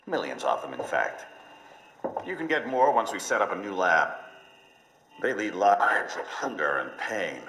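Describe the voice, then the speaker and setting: Gravelly, ruthless executive villain. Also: male voice, deep voice, exaggerated voice. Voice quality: gravelly, forceful. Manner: cold, curt, condescending. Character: ruthless impatient American executive villain, middle-aged man. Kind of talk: video game cutscene acting. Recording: echoey hall reverb with background noise.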